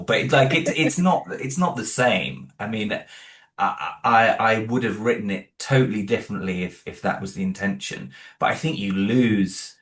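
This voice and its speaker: A man's voice: fairly low pitch, fairly bright tone, reverberant. A man being interviewed as an audio drama creator, chatting casually with many restarts and asides. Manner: rambling, many restarts, laughs along.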